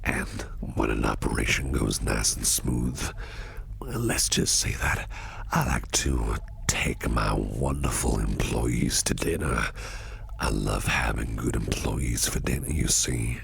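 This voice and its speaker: Creepy flirty boss asmr, super gravelly breathy purr, close up, bit of background noise. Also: male voice, a bit bright, heavily acted. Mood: teasing menace. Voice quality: very deep, gravelly, breathy near-whisper. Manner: slow, teasing, menacing purr. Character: sinister, flirtatious businessman boss, teasingly hinting he eats people. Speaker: ASMR roleplay performer. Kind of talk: ASMR roleplay.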